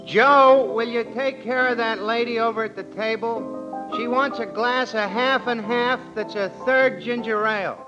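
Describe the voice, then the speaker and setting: An actor playing a complaining, folksy bartender in 1940s radio drama. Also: male voice, extremely high pitch, unhurried, highs cut off. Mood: grumbling. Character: grumbling, comic, penny-pinching bartender, plain working man. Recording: old radio recording with music.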